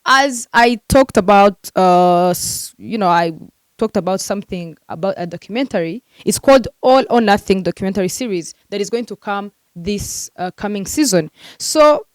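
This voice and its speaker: A woman's voice: mid-range voice, expressive, moderate pace, clear, resonant tone, no room sound. A woman hosting a football transfer news vlog, talking casually and animatedly to her viewers with lots of fillers, venting like a frustrated fan.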